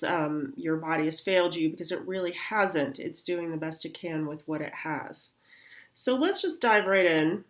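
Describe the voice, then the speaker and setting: Middle-aged woman chiropractor, kinda nasal, talks real slow with lots of ums. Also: fairly low pitch, fairly bright tone. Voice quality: slightly nasal. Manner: slow, unhurried explaining with fillers. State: recovering from sinus congestion. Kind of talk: health talk explaining anatomy with slides.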